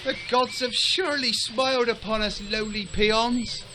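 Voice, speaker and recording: An actor playing a lowly, downtrodden peasant in a comic video game. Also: male voice, steady tone, unhurried. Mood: pious wonder. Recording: clear ambient noise behind.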